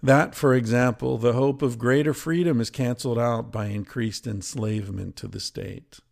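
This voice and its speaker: Creaky-voiced man reading with measured delivery. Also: medium pitch, steady tone, a little mellow. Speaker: podcast host and reader. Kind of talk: reading a book excerpt aloud with casual asides.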